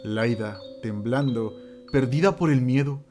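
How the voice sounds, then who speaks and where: A man tells a scripted horror story in a mid-range voice. His delivery is theatrical and suspenseful, broken by dramatic pauses, with music beneath it. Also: mid-range voice, dark tone. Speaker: narrator.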